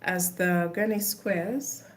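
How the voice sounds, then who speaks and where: A woman's voice: on the low side, steady tone, slow. A woman with a Ugandan accent, chatting casually on a live stream while she does craft work.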